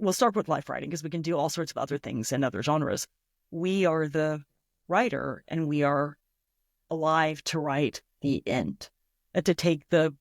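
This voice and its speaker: A woman's voice: low voice, no echo, clean studio sound. A woman speaking as a guest writer in an interview, reflective and enthusiastic as she explains ideas through imagined examples. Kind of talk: thoughtful interview talk.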